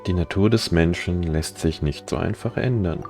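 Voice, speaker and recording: Male streamer, low register, brief game commentary and read-aloud choices, music behind him. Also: moderate pace, vocal fry. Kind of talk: live game commentary, reading out dialogue choices.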